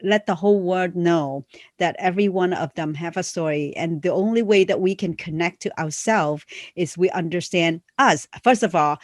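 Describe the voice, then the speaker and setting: A woman's voice: lowish voice, moderate pace, no echo, studio quality. Earnest woman, English not her first language. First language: a Chinese dialect. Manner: earnest, non-native phrasing, frequent fillers. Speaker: memoir coach and author. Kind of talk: casual conversational promotion.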